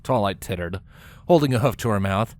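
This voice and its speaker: A man's voice: fairly low pitch, animated, fairly quick, no echo. A man reading scripted story narration as the narrator of a tale.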